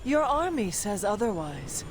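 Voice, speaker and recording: An actress playing a solemn warrior heroine in a video game, speaking in a measured, formal, stoic way. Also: female voice, medium pitch, big pitch swings, background noise. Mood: defiant.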